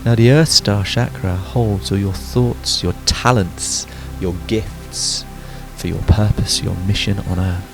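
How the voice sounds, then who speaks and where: A man guides a sleep meditation in a very deep voice with a creaky, gravelly edge. He gives soothing instructions in short phrases, leaving pauses between them. Music plays behind him. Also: steady tone. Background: background music.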